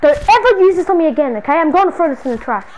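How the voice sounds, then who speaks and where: A woman's voice: extremely high pitch, animated, racing, darkish tone, slight background noise. A woman playing an exasperated, slangy city dweller hounded by a stalker friend in an improvised video game roleplay. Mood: exasperated scolding. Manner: slangy, muttering to self, then scolding.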